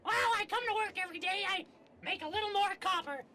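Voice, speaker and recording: A performer voicing a brisk, money-minded goblin shop cashier in a comedy sketch, brusque but chummy. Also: very high-pitched. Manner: brusque, chummy, hurrying customers along. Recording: very noisy, clicky home recording.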